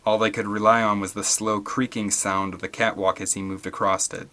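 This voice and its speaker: A man's voice: on the low side, level tone, fairly quick, sharp tone. A man reading an adventure story aloud as scripted, dramatic audiobook narration. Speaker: audiobook reader.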